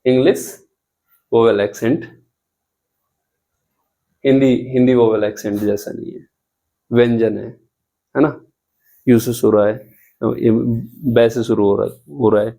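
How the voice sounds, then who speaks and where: Man, teacher, slow deliberate delivery, warm dark tone, repeats examples, quizzes the class. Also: medium pitch, fairly even intonation, creaky. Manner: asks the students questions. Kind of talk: explanatory grammar lesson.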